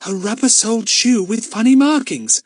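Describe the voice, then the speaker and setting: A man's voice: extremely high pitch, moderate pace, no echo, studio quality, voice pushed up. A man voicing an eager, inquisitive young girl detective, earnest and self-important, in a children's audiobook. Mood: proud, eager. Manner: earnest, questioning. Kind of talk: acted children's audiobook dialogue.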